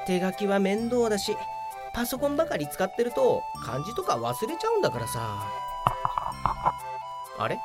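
A woman's voice: mid-range voice, sing-song, moderate pace, pauses often, natural voice. A woman playing a hapless, grumbling veteran male office worker who narrates his own comic audio drama in a whiny, self-important way. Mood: puzzled. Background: prominent background music.

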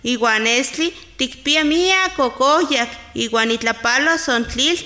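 A woman playing a firm traditional midwife in a radio drama, instructing in a deliberate way. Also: sits high, pushed and bright, heavily acted. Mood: concerned, firm. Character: traditional midwife, a woman firmly warning a pregnant patient.

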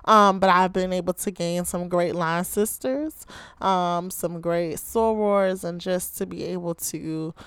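A young woman in her twenties from Baltimore hosts her own podcast, talking casually and chattily with a slightly hoarse voice that is still coming back. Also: lowish voice, steady tone, measured pace, fairly bright tone, dry recording. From Baltimore, Maryland, USA. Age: 20s. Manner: chatty, heavy use of "like" and "you know". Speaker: podcast host. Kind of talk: casual solo podcast chat.

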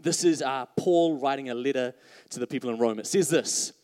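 A man's voice: mid-range voice, a little fast, a little mellow. A man preaching a live sermon to a congregation, chatty and full of self-mocking jokes. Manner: chatty, joking, self-mocking asides to the crowd. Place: church hall before a congregation. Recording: reverberant hall.

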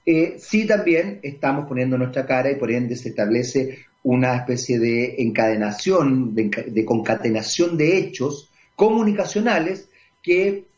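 A Chilean man hosting a radio talk show, giving commentary and interviewing a guest. He pauses often with drawn-out fillers. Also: medium pitch, a little mellow. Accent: Chilean Spanish. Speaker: radio host. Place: radio studio. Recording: dull lo-fi radio broadcast.

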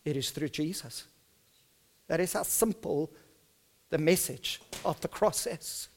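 A middle-aged male pastor whose first language is Afrikaans, with a South African Afrikaans accent, preaching earnestly and moving between hushed, soft stretches and loud, emphatic ones. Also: fairly high pitch, a little slow, a little hiss or hum. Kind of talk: sermon with personal storytelling.

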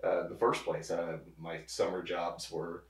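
A man in his thirties speaks as an interview guest in a low voice, loose and rambling, circling back and restarting his sentences. He sits at a distance from the mic, and the room gives his voice a noticeable echo. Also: a little slow. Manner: relaxed, rambling with many restarts. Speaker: pharmacist guest. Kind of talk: casual interview.

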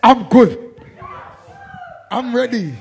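A man MC, voice worn out from performing, loudly laughing and shouting out names in live banter. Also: extremely high pitch, expressive, extremely slow, vocal fry. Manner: loud, laughing, shouts out people. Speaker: MC on a live stream. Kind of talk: hyped live banter between sets.

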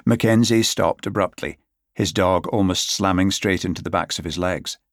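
A man's voice: sits low, moderate pace, no room sound, clean studio sound. A man reading a novel aloud as scripted audiobook narration.

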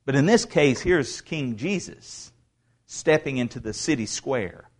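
A man preaching a sermon in a church, talking casually with asides and restarts, then reading scripture aloud and building to loud proclamation. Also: mid-range voice, animated. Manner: reads scripture aloud slowly. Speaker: pastor. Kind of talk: expository sermon.

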